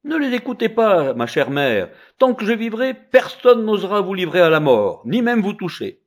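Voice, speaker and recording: An uncanny infant boy speaks up high and boldly, scolding the grown-ups around him, as a man puts him on for a storybook. The voice jumps up and down, with a slight husk. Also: very animated, moderate pace, exaggerated voice. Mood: confident. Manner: bold, scolding.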